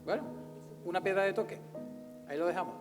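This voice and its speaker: A man's voice: sits high, fast. A man hosting an informal presentation, speaking effusively with hesitant, repeated phrasing. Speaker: event host and narrator of a radio serial. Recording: echoey hall with long reverb, faint music.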